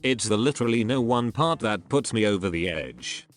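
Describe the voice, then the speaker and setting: A man narrating a series of online posts in a steady read-aloud style. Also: on the low side, normal speed, faint music behind. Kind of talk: scripted narration.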